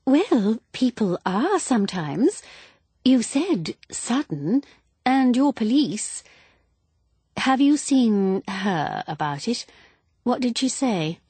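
A woman's voice: on the high side, very animated, slow, dark tone, slightly rough, dry recording, voice pushed up, heavily acted. A woman playing an eager gossiping housewife. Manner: eager, breathless, prying. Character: excitable gossiping plump middle-aged woman.

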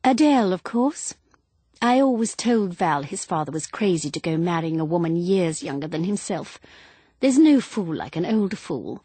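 A woman voicing an excitable, prying, plump middle-aged woman in a storybook reading. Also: fairly low pitch, normal speed, slightly rough, no room sound. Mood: eager gossip. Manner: eager, breathless, prying.

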